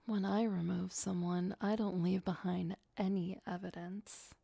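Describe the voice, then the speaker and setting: An ageless female vampire played by a woman murmurs as an obsessive, darkly playful girlfriend in an ASMR roleplay. Her voice is soft, breathy and often whispered, drawn out at an unhurried, very slow pace. She coaxes sweetly with menace underneath, very close and dry on the mic. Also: lowish voice, level tone, dry recording, full character voice. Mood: disdainful, menacing. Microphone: close, dry microphone.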